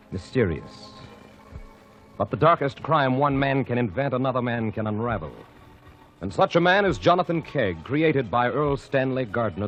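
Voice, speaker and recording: A man announcing a 1940s radio drama in a portentous, deliberate style. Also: mid-range voice. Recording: dull old radio broadcast with organ.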